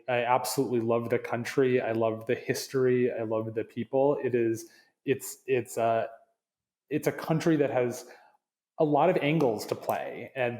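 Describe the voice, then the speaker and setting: A man's voice: mid-range voice, darkish tone. Earnest man with slight Canadian accent. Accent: slight Canadian with New England touches. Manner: earnest, restarts phrases often. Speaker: guest, marketing executive. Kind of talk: casual interview chat.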